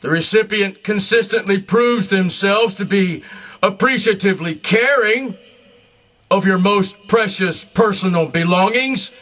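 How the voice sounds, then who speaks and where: A man delivering a solemn, scripted religious address in a grandiose, emphatic manner, in deliberate short phrases. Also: high-pitched, a bit bright. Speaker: self-proclaimed religious leader.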